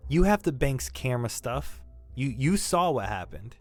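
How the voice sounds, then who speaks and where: An actor playing a shaken young male robbery suspect in an audio drama, defensive and grieving, halting and stammering as he talks. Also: mid-range voice, sing-song, faint music behind, natural voice. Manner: halting, stammering, repeating himself. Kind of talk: naturalistic audio drama acting.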